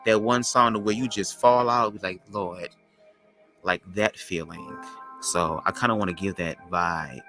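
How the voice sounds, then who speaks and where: A man with a low, raspy voice, hosting a podcast as a loose, slangy spiritual monologue. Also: fairly even intonation, music in the background. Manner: loose, slangy, drawn-out talk. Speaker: podcast host.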